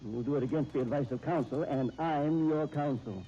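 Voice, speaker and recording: A shrewd professor and lawyer, played by a man in 1930s radio drama style. His voice is very mellow and dark, with an emphatic, cautioning delivery. It comes through a muffled, hissy old disc recording. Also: fairly high pitch, fairly quick, close to an everyday voice. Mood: scolding, emphatic. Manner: emphatic, cautioning, old-fashioned slangy talk. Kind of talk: 1930s radio soap opera acting.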